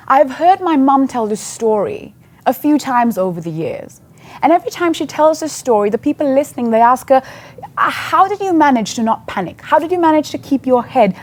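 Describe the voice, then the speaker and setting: Woman telling a story in a sermon. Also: fairly high pitch. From Sri Lanka. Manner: earnest, conversational storytelling. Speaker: church speaker.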